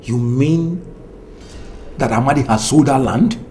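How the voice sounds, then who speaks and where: A man's voice: medium pitch, dark tone, natural voice. An actor playing a wronged, sorrowful middle-aged father in a film drama, lamenting over noisy location ambience. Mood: dismayed. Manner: slow, lamenting.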